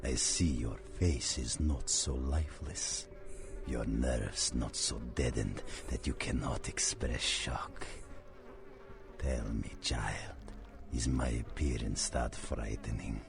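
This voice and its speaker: An actor playing an ancient, cultured vampire monster in a video game, speaking with theatrical menace, formal archaic phrasing and a breathy, whispering rasp. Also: male voice, extremely low pitch, slow pace, exaggerated voice. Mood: silky menace.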